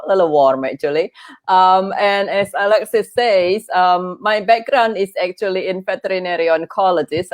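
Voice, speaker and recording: An earnest middle-aged woman, Indonesian by birth, speaks in a somewhat low voice. She chats as a podcast guest, filling her sentences with ums and restarting her thoughts. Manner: earnest and chatty, many fillers and restarts. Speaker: guest, veterinary oncologist and grief coach. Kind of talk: podcast interview.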